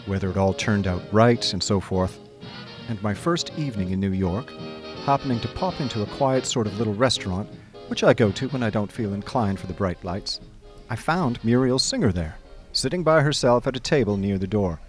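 Man acting low-voiced breezy gentleman narrator over music. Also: animated, moderate pace, lightly acted. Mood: chatty. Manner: breezy, wordy, old-fashioned society slang. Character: idle, chummy young upper-class gentleman narrator. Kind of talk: scripted first-person radio drama narration.

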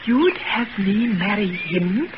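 An actress playing a distraught, devoted young sweetheart in emotional, melodramatic 1940s radio style. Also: female voice, mid-range voice, animated, takes it slowly. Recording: muffled hissy 1940s radio recording.

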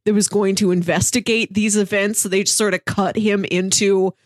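A woman's voice: mid-range voice, level tone, moderate pace, no room sound, studio quality. A woman co-hosting a podcast and chatting casually about comics, enthusiastic and laughing often. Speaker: podcast co-host.

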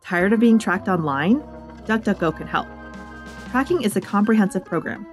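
A woman's voice: medium pitch, lively intonation, normal speed. A woman announcer delivering a scripted promotional read. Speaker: announcer and presenter. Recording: music behind the voice.

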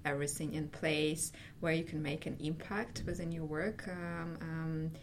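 A woman from Ukraine, a senior tech recruiter, talking casually in an interview and pausing on fillers. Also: sits low, level tone, slight background noise. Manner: hesitant, many fillers, laughs often.

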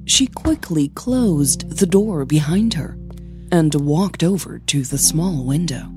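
A woman's voice: low voice, lively intonation, slow pace, over music. A woman narrating scripted audio fiction as the storyteller.

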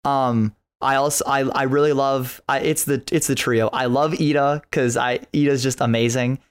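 A man talks casually as a podcast guest, with the keenness of a devoted fan. He often doubles back on his phrases as he chats about anime at a middling pitch. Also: steady tone, normal speed, smooth.

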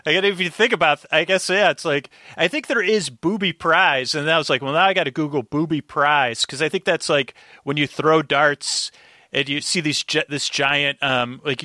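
Bright, rambling male podcast guest. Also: on the high side, dry recording, clean studio sound. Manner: rambling, halting, self-interrupting. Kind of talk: casual recap podcast chat. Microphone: close, dry podcast microphone.

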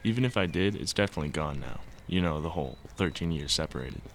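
An actor playing a teasing, protective young brother in an audio drama. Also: male voice, a bass voice, lively intonation, quick pace, slight background noise. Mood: casual. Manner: easygoing, teasing.

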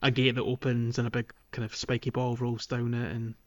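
A man's voice: medium pitch, completely flat delivery, brisk. A man co-hosting a podcast, casually talking through a video game in a loose, hedging, informal way. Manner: hedging, informal explaining with kind of and like. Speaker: podcast co-host.

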